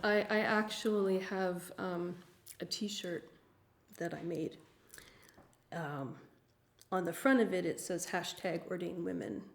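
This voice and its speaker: A woman talking casually and a little haltingly at an open mic, modest in manner. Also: fairly low pitch, steady tone, creaky. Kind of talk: casual chat.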